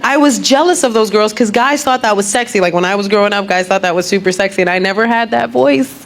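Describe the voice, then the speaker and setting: A woman doing animated stand-up comedy at a club, often slipping into mimicked voices and laughing along. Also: fast talker. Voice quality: deep voice. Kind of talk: stand-up crowdwork set. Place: comedy club stage with live audience. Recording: loud crowd laughter, club noise.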